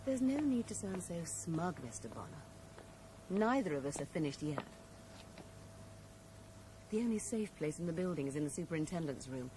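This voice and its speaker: A woman's voice: fairly low pitch, sing-song, moderate pace, long pauses. An actress playing a composed, ambitious female accomplice, clipped and defiant, in a 1980s radio drama. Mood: cool, defiant. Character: cool, ambitious, unapologetic female accomplice hungry for power. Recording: noisy, hissy old radio broadcast recording.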